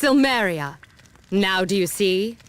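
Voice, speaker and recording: A woman's voice: medium pitch, lively intonation, slow, noisy background. A woman playing a stern, haughty goddess warrior in a fantasy video game, speaking in an imperious, formal manner. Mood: cold, commanding.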